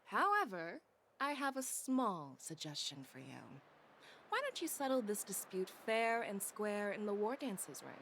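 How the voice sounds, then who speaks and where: A woman's voice: mid-range voice, very animated, unhurried, fairly bright tone, a little hiss or hum. A woman playing a confident, worldly female warrior in an anime game, speaking in a poised, instructive way. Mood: poised, amused. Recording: game dialogue over music.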